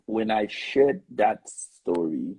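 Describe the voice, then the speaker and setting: A man's voice: lowish voice, lively intonation, slow, a little mellow, husky, rough recording. A man in a casual faith discussion, talking conversationally and often filling with "like". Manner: conversational, with frequent "like" fillers. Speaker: discussion panelist.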